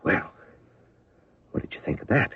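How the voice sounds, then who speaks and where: An actor playing a resentful, put-upon office assistant in a radio drama, formal and indignant. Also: male voice, moderate pace, no echo, close to an everyday voice. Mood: indignant, wounded. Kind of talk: 1970s radio drama acting. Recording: narrow-band old radio recording.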